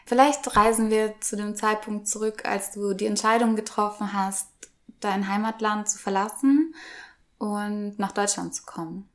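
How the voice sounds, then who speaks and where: A woman hosting a podcast interview in a casual, friendly chat style, quick to laugh. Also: medium pitch, steady tone, a bit bright. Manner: laughs readily. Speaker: podcast host interviewing a guest.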